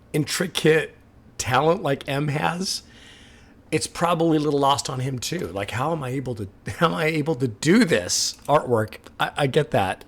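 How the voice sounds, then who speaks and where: A man hosting a music reaction, talking casually with a slightly raspy voice from a sore throat, laughing often and stopping the track to comment. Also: fairly high pitch.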